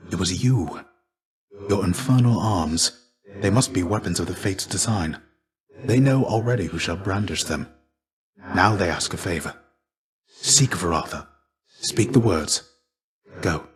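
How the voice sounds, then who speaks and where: An actor playing the ghost of a fallen Greek warrior in a video game, a gentle, fatherly mentor with measured, formal, old-fashioned phrasing. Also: sits low. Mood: solemn. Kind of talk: video game character dialogue.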